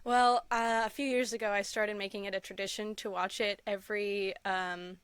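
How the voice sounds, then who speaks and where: A woman speaks as an enthusiastic interview guest in casual, personal storytelling. Her voice is crisp and sits at a medium pitch. The sound is close and dry, and she tends to giggle as she talks. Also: level tone, moderate pace, no echo. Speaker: podcast guest.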